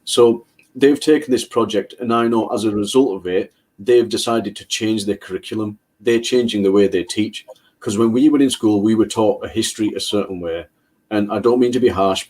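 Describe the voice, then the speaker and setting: A man co-hosting a panel discussion, from Britain, talking in an earnest and measured way. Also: on the low side, a little mellow.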